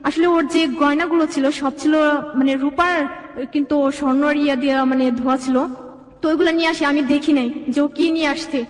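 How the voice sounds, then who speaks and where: A woman's voice: high-pitched, fairly even intonation, fairly quick. A young Bangladeshi woman telling her own life story as an interview guest, in rambling run-on sentences. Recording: echoey room with long reverb.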